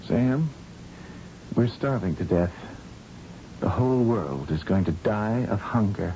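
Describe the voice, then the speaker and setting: An actor playing an earnest, weary scientist of the future in an old-time radio drama, grimly frank. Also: male voice, fairly low pitch, lively intonation, takes it slowly. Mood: grave. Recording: dull, noisy old radio recording.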